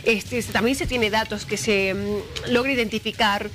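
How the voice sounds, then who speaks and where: A woman's voice: medium pitch, fairly even intonation, a bit bright. A young woman, hosting a morning TV show and asking pointed questions in a studio. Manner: brisk, probing questions. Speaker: TV news host.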